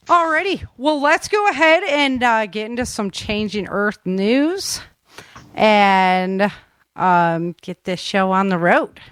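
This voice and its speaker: A woman's voice: medium pitch, expressive, takes it slowly, fairly bright tone, dry recording, clean studio sound. A woman hosting a podcast in a casual, rambling, conversational interview style. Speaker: podcast host.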